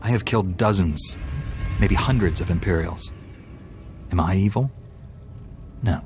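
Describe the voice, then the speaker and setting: Game protagonist: ruthless righteous knight, lowish register, firm commanding tone, light music bed. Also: male voice, a little slow, a little mellow. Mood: righteous, cold. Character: ruthless, self-righteous Jedi warrior, commanding young man. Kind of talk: video game hero acting.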